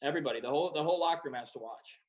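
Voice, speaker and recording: A man in his twenties, a professional soccer player who grew up in Croatia and the United States, answering in a relaxed way with many fillers. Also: fairly high pitch, racing. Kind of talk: casual interview answers.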